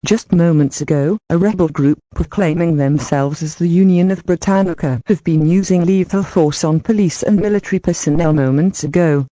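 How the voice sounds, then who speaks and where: Woman reading a dark, dry news bulletin. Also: lowish voice, normal speed, hardly any pauses, no room sound, lo-fi sound. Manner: flat, read-aloud bulletin delivery. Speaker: newsreader in a fictional emergency broadcast. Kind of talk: scripted amateur audio drama.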